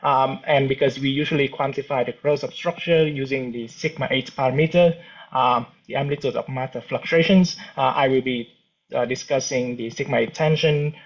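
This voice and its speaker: A man, giving an academic seminar talk in a halting, relaxed way with frequent restarts. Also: medium pitch. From Vietnam. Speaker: cosmology researcher giving a seminar. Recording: dull lo-fi recording.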